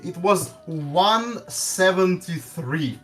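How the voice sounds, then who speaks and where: Halting man with a Russian accent. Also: high voice, animated, a bit bright, soft background music. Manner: halting, many restarts and stumbles. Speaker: guest player. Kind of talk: casual gaming chat.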